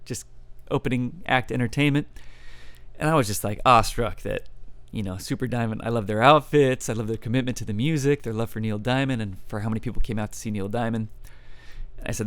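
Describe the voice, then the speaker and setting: Young male baritone, musician guest, easygoing and rambling, smooth tone, close dry mic. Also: no room sound. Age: young adult. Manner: relaxed, rambling, many fillers and restarts. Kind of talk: casual interview chat.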